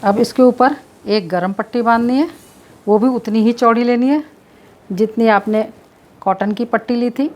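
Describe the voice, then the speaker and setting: A woman presenting health tips, calmly explaining a home remedy step by step while showing how it's done, repeating points as she goes. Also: a little high, unhurried, long pauses. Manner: explains with repetition while demonstrating. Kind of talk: demonstration lesson.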